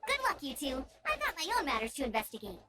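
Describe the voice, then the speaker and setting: Perky young game scout: very high, animated, eager and encouraging, loud music underneath. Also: female voice, fast talker. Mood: friendly explaining. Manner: upbeat, friendly, eager. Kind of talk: video game voice acting.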